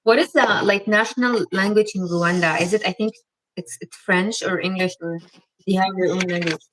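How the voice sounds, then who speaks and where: A woman's voice: fairly low pitch, fairly even intonation, normal speed, fairly bright tone, poor audio. Chatty woman hosting a live Q&A. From Egypt. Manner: chatty, reads viewer comments aloud, many fillers. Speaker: vlog host. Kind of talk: casual live-stream Q&A.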